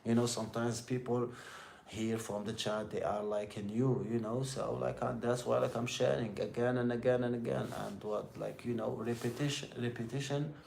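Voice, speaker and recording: Gravelly middle-aged Algerian man, rambling. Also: medium pitch, steady tone, darkish tone. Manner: rambling, repetitive, halting second-language English. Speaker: livestream host. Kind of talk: casual motivational chat with live viewers. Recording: roomy echo with faint background noise.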